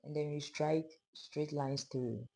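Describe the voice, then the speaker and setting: A sewing instructor with a hoarse, fading voice, calmly narrating a pattern-drafting tutorial step by step. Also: medium pitch, takes it slowly, a little hiss or hum. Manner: calm, step-by-step explaining of measurements. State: hoarse, losing voice.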